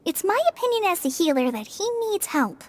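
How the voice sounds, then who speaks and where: A woman voicing a gentle young female healer in a video game, soft and reassuring. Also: extremely high pitch, sing-song, a little fast.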